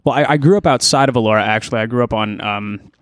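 A man's voice: medium pitch, quick pace, clear, resonant tone, no echo, studio quality. A young man from Ontario, a musician guest on a podcast, rambling and hesitant with many restarts. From Ontario, Canada. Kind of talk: casual podcast interview.

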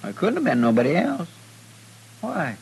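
A man's voice: fairly high pitch, wildly swooping pitch, normal speed, gravelly, a little mellow, slightly rough, full character voice, rough recording. A man playing a folksy, anxious, deferential frontier sidekick with a rural American drawl in an old radio western, recorded with hum and noise. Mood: worried, curious. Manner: folksy, hesitant, eager. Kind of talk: old-time radio drama acting.

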